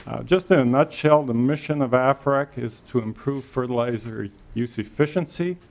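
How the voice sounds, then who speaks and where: Male government manager, mid-pitched with a creaky edge, polite formal presenter at a committee hearing. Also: moderate pace. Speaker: state agriculture department section manager. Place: legislative committee hearing room.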